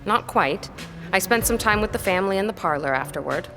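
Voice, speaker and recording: A woman's voice: medium pitch, expressive, brisk, lightly acted. A woman playing a proper, guarded governess in a period audio drama, polite and careful with hesitant phrasing. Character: proper, guarded young governess recounting events. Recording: loud music under the voice.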